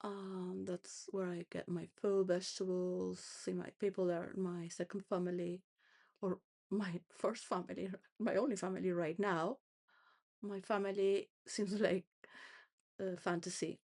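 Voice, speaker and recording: A woman's voice: lowish voice, level tone, a little slow, creaky, darkish tone. A woman rambling through a personal monologue, full of restarts and wandering thoughts, sometimes laughing between phrases. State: homeless.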